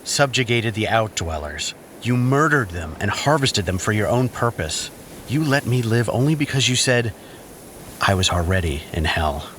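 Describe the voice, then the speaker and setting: A low-pitched, embittered outcast brother in an audio drama. He speaks in measured, theatrical phrases, cryptic and scheming, over faint background noise. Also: animated, a bit bright. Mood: grave, accusing. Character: exiled bitter brother, cryptic accused schemer demanding sanctuary.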